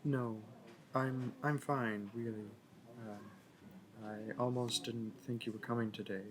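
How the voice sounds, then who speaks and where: A man's voice: mid-range voice, steady tone, measured pace, crowd noise, lightly acted. A man playing a shy, smitten young library worker in a roleplay audio, nervous and awkward, stammering and correcting himself. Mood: awkward, wistful. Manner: stammering, hesitant, self-correcting. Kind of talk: intimate roleplay audio acting.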